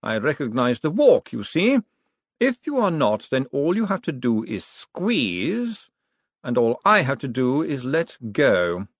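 A man voicing a dryly witty, commanding ruler in an audiobook, measured and coolly in control. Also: mid-range voice, wildly swooping pitch, no echo, clean studio sound, voice pushed up, full character voice. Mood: cool, courteous. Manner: measured, deliberate, authoritative.

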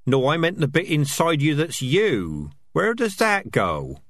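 A man's voice: sing-song, a little slow, a bit bright, no room sound, studio quality, exaggerated voice. A man voicing a squad of small, nervous, bickering male rats in an audiobook reading. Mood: uneasy. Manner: bickering, nervous, high-pitched chatter. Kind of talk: audiobook character voices.

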